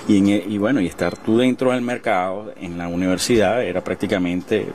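Low-voiced Venezuelan man recalling, haltingly. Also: steady tone, a little mellow, slight background noise. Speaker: bookseller being interviewed. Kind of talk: casual recollection in interview.

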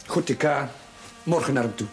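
An actor playing a shy, kind young village chaplain with a hesitant, stammering manner, in a television period drama. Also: male voice, medium pitch, normal speed, close to an everyday voice. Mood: earnest, hesitant.

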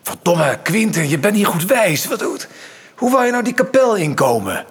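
A male actor playing a sceptical, exasperated middle-aged father in a radio drama, husky and sarcastic. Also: high-pitched, big pitch swings, moderate pace, heavily acted. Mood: incredulous, exasperated. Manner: sarcastic, incredulous. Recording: music under the voice.

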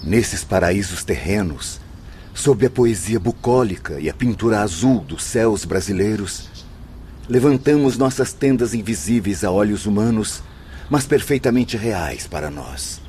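An actor playing a prudent, dignified male spirit guide in a radio drama, formal and deliberate. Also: lowish voice, animated, darkish tone, a little hiss or hum. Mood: lyrical.

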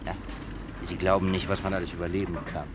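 A dry male forensic doctor played by an actor in a radio drama. His voice sits low and he sets out findings deliberately. Outdoor traffic ambience runs clearly behind him. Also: steady tone, lightly acted. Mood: dry, musing. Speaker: actor playing a coroner. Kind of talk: German radio drama acting.

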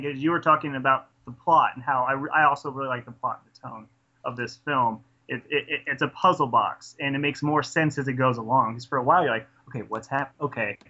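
A man's voice: medium pitch, steady tone, quick pace, pushed and bright. A man casually reviewing a film in conversation, enthusiastic and rambling, often restarting his sentences. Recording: dull lo-fi podcast audio.

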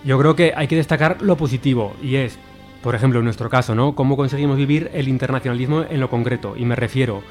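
A man's voice: mid-range voice, fast talker, a little mellow, soft background music. A man, an activist guest on a radio interview, speaking earnestly and often repeating words as he thinks.

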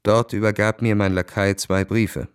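A man's voice: on the low side, flat delivery, moderate pace, smooth, no room sound, studio quality, natural voice. An actor playing a proud, world-weary young Russian officer who narrates his own story dramatically in a radio play. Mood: weary, reflective.

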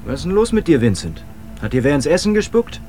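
A man's voice: a little high, big pitch swings, fast talker, warm tone, faint music behind. A man acting in a radio drama as a brash, cheeky expedition buddy who speaks in a teasing, forthright way.

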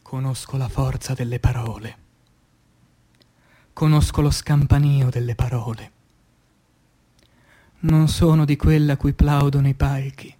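A man reciting poetry as dramatic radio theatre, solemn and declamatory, breaking phrases with deliberate pauses. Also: mid-range voice, fairly even intonation, mellow.

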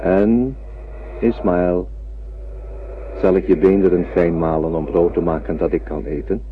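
An actor playing a huge old telepathic alien, gentle and wise, speaking in a measured, solemn way in a radio drama. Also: male voice, sits low, steady tone. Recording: narrow lo-fi old radio recording, faint noise.

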